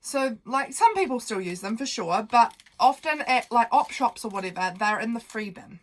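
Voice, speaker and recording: Kiwi woman with a stuffy nose, rambly craft tutorial chat at her desk, voice a bit on the high side. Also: animated, normal speed. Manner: chatty, meandering, with fillers. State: nasal from a cold.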